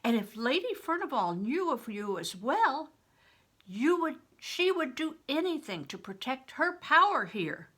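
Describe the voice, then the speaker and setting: An older widow in a storybook, acted out by a woman reader, earnest and urgent. Her voice climbs high and swings widely in pitch as she pleads and warns with strong emphasis. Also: big pitch swings, unhurried, sharp tone, breathy edge, full character voice. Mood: urgent warning. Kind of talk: audiobook character reading.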